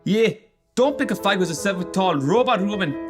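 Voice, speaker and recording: A man's voice: high voice, lively intonation, fairly quick. A man playing a cocky doctor in an audio drama. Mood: cocky warning. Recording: music behind the voice.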